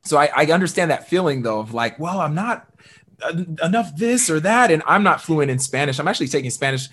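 A young man hosting an interview podcast, talking in an animated, upbeat way with many fillers. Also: a little high, brisk, breathy edge. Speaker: podcast host. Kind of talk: conversational interview.

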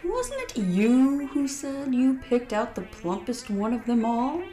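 A woman voicing a cold, menacing alien invader in a story, with slow, drawn-out, taunting delivery. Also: on the high side, expressive, warm tone, roomy sound, soft background music, pitched above the performer's own voice. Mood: taunting menace. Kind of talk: storybook villain narration.

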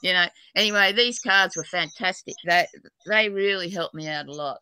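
A woman's voice: fairly low pitch, normal speed, pushed and bright, smooth, rough recording. An Australian woman from New South Wales, a psychic and dowser, chatting in a rambling, talkative way and laughing often. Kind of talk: casual podcast chat.

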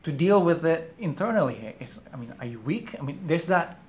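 A man's voice: fairly high pitch, very animated, moderate pace. A man from Indonesia with an Indonesian accent, talking thoughtfully in casual conversation and often repeating words as he gathers his thoughts. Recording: echoey room, faint background noise.

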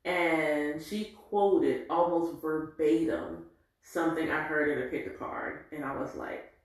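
A woman in her forties telling a candid, reflective personal story in an animated way. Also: sits low, measured pace. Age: 40s. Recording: very echoey room.

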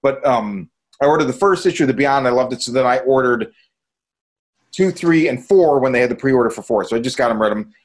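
Guy hosting a podcast, medium pitch, rambly and into it, casual chat. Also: animated, brisk. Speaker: podcast host.

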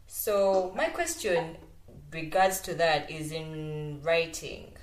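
A woman with a fairly low voice speaks as an interviewer in a very roomy, echoing space. She puts her questions in a conversational way. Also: takes it slowly. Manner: listens with frequent small acknowledgements. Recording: roomy indoor echo.

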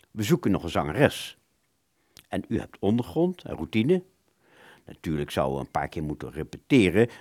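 An actor as an awkward, courteous musician in a radio play. His low voice moves up and down expressively as he stumbles formally through his words. The sound is close and dry. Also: no echo.

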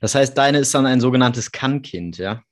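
A man's voice: mid-range voice, animated, moderate pace. Chatty man hosting a video-call talk. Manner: chatty, curious. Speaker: podcast host. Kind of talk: casual interview.

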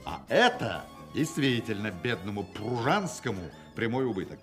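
An actor playing a sly, self-assured swindler in a radio play, speaking with ironic, theatrical grandiloquence. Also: male voice, lowish voice, big pitch swings, moderate pace, music in the background. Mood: sly, teasing.

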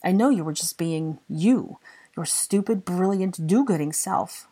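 A woman voicing a lovesick, exasperated young man in dramatic audiobook dialogue, impassioned and sardonic, with halting phrasing. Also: on the low side, dark tone, slightly rough. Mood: fervent confession.

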